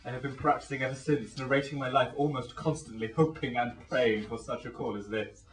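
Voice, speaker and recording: A man playing a pompous, florid, rambling gentleman in an absurd comic radio play. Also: medium pitch, fairly even intonation, fairly quick, natural voice. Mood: grandiloquent, rapturous. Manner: florid, grandiloquent. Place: small dressing room. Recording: echoey room, distant microphone.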